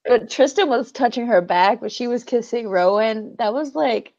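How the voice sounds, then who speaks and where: A woman's voice: a little high, moderate pace, darkish tone. A woman in casual conversation, agreeable and chiming in informally. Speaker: book discussion panelist. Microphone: video call. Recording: dull lo-fi online call audio.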